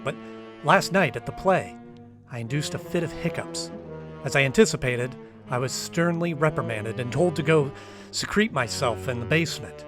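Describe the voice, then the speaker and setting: A man's voice: mid-range voice, lightly acted. A man playing an earnest, anxious trapped diarist in an old-time radio drama, speaking uneasily. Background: music.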